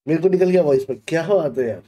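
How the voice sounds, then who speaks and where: A man's voice: fairly high pitch, lively intonation, quick pace, warm tone. A man in his thirties with an Indian accent, chatting casually with viewers as a gaming live streamer, in an informal manner. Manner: informal, code-switches between Hindi and English. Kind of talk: casual livestream chat, reading and answering viewers. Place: small gaming room indoors.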